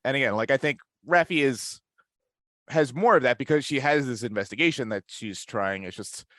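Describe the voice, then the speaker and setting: A man talking casually as a podcast panelist, working through his thoughts aloud in a halting way. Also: medium pitch, fairly bright tone, no echo, clean studio sound.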